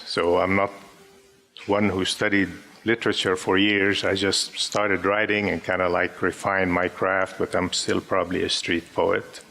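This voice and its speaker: A man in his fifties from Syria, an Arabic speaker, reading his poems aloud to a live audience in a gentle, measured way. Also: low-pitched, level tone, creaky. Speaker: poet. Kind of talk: poetry reading with spoken introductions. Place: live gathering before an audience.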